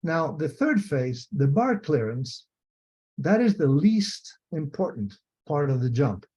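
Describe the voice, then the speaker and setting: A man's voice: a little high, sing-song, takes it slowly, darkish tone, breathy edge. An older man from Spain with a Spanish accent gives an explanatory lecture over a video call, methodically repeating and rephrasing key points. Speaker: retired biomechanics professor, lecturer. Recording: dull, muffled video call audio.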